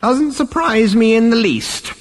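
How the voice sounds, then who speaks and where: A man voicing an ancient, scornful ghostly alien great-grandfather from a comic science fiction story, screechy and rasping, snappish and pompous. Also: very high-pitched, animated, big-room sound, pitched above the performer's own voice, heavily acted. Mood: stern disdain. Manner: snappish, drawn-out, withering, pompous.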